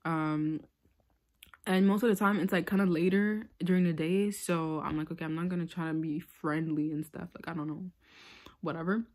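A woman's voice: low-pitched, steady tone, normal speed, a little mellow. A young woman born in Tanzania telling a casual storytime into her phone, rambling with lots of filler words. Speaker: vlogger. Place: small room indoors. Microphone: phone held close.

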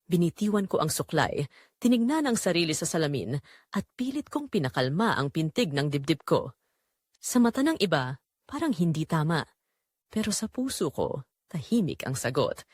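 A woman tells a scripted romance in the first person. Her delivery is earnest and measured, with lively rises and falls in pitch. The sound is dry, with very little room in it. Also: husky, no room sound. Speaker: narrator of a romance audio drama.

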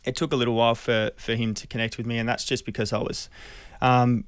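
An Australian man in his thirties telling a personal story in an interview, earnest, hesitant and measured, close on a studio mic. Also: mid-range voice, little pitch movement, clear, resonant tone, dry recording. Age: 30s. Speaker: radio interview guest. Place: small radio studio. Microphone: close studio microphone.

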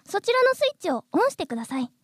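Mosquito girl, extremely high voice, animated intonation, earnest, pushy, polite, radio comedy. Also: rapid-fire, no room sound, clean studio sound. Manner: polite, insistent, overly earnest. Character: earnest, pushy female mosquito in human form. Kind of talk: broadcast radio comedy drama.

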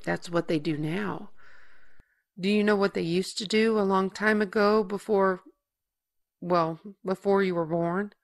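An actress playing a caring, grave and patient older sister in an audio drama. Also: female voice, mid-range voice, fairly even intonation, a little slow, creaky. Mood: gentle, serious.

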